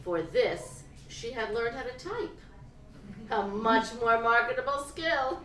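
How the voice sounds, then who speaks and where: A woman gives an expressive literary reading of first-person prose at a live event, phrasing it deliberately and dramatically. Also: fairly high pitch. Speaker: reader of fiction at a live event. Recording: roomy, distant live-event recording with noise.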